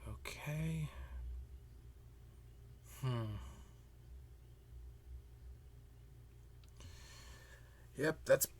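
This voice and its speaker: A man playing a weary, jealous math teacher and best friend in a roleplay monologue, halting and muttering with a breathy voice. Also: on the high side, a little hiss or hum. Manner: halting, muttering, frequent sighs. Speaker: audio roleplay performer. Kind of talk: slow roleplay monologue to the listener.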